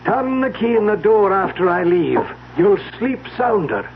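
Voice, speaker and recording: An actor playing a kindly, loyal household servant in a radio mystery, deferential, gentle and slightly halting. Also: male voice, high voice, big pitch swings, full character voice. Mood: kindly, reassuring. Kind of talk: old-time radio mystery acting. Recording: noisy, tinny old radio recording.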